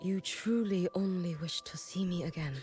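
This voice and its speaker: A woman's voice: lowish voice, moderate pace, a little mellow, lightly acted. A woman voicing a stoic, ageless warrior shrine maiden who speaks formally and with restraint in a video game. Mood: grave, restrained. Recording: game audio with music underneath.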